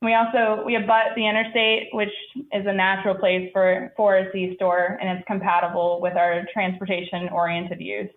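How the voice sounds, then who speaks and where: A woman's voice: medium pitch, little pitch movement, moderate pace, hardly any pauses, narrow, tinny audio. A woman answering questions as a company representative at a public hearing, in an assured, businesslike way. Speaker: applicant representative for a store chain. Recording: echoey room, thin band-limited sound.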